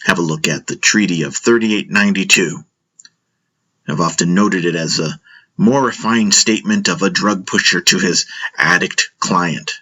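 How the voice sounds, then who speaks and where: Man playing deep-voiced, cynical intelligence agent lecturing. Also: bright, forceful tone, slightly rough, full character voice. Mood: wry, frank. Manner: wry, measured, musing. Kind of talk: acted lecture in audio drama.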